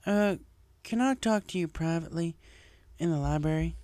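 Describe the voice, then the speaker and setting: Man voicing a raised-pitch, earnest farm mare. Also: normal speed, darkish tone, no echo, close to an everyday voice. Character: plain-spoken, earnest young female farm pony.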